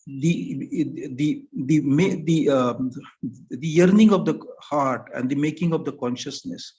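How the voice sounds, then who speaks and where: An older man with an Indian English accent, giving a thoughtful academic talk as a panel speaker, pausing often and filling gaps as he searches for words. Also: medium pitch, a little mellow. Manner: halting, thoughtful, with many pauses and fillers. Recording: slightly muffled lo-fi recording.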